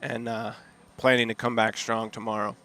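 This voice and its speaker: A man calmly commentating on a live freediving competition, admiring and easygoing, with frequent filler pauses. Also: medium pitch. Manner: relaxed, admiring, many fillers. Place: outdoors at a seaside dive event.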